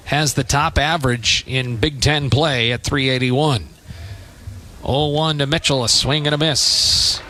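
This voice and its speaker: A man's voice: mid-range voice, bright, forceful tone, a little hiss or hum. A man doing live radio play-by-play of a baseball game in short, punchy, descriptive phrases. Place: open-air stadium press perch.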